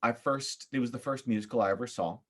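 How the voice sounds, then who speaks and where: A man with an American accent, from upstate New York, talking casually as a podcast guest in an animated, opinionated, emphatic storytelling way. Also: mid-range voice, fast talker. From upstate New York, United States.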